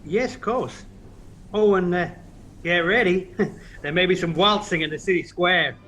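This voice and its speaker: A man playing a kind, concerned, slightly awkward friend in an audio play, gentle and hesitant. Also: sits high, unhurried. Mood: gently joking. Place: recorded at home during lockdown. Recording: muffled, noisy home recording.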